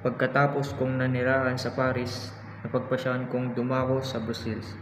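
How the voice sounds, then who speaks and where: A man's voice: mid-range voice, completely flat delivery, moderate pace, lightly acted. A man with a Filipino accent playing an earnest young national hero, narrating his own travels in a student radio drama. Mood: earnest, solemn. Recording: muffled, noisy, echoey hall recording.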